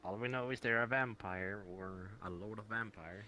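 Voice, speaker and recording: A man with a fairly low, bright voice chatting through an online role-play. He banters hesitantly and swears freely, over light background noise. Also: normal speed. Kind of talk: casual in-character role-play chat. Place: online VR voice chat.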